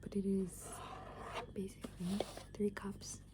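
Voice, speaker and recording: A young adult woman rambles in a breathy ASMR whisper, hesitating and leaning on filler words as she goes. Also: mid-range voice, little pitch movement, slow. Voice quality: breathy, mostly unvoiced whisper. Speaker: ASMR video creator. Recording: clear background noise.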